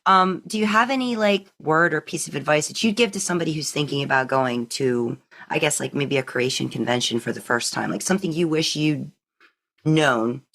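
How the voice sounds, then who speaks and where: A woman's voice: deep voice, a little fast, fairly bright tone. A woman hosting a podcast in a casual, conversational interview style, telling stories animatedly. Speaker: podcast host.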